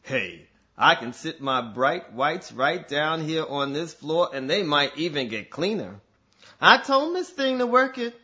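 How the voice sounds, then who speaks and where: A man's voice: a little high, sing-song, measured pace, bright, forceful tone, highs cut off, exaggerated voice. A man playing a sassy, mothering, camp friend in a stage comedy, drawling endearments. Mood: sassy, teasing.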